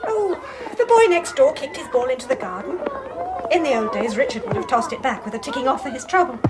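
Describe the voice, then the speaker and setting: An actress playing an anxious, troubled young mother in a British radio drama, speaking with an English accent in a tense, halting, confiding way. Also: sits high, big pitch swings. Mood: troubled, uneasy. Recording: faint noise on radio broadcast.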